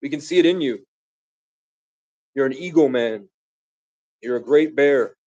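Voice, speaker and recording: A man's voice: medium pitch, measured pace, darkish tone, dry recording, dull audio. A man from the Bronx, raised in Vermont, rambling grandly and casually to his viewers on a live stream. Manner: grandiose, meandering, casual slang. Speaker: livestreamer.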